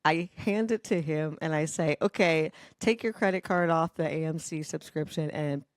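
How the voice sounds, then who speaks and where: A woman podcast host telling stories in casual chat, with an animated, dramatic and sweary manner. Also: deep voice, normal speed, no room sound, studio quality.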